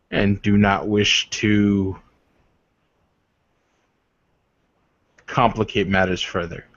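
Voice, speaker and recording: Man playing slow, stern werewolf warrior. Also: lowish voice. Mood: formal, restrained. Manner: slow, measured, commanding, formal. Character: stern, formal male werewolf warrior and mate. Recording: muffled, echoey room recording.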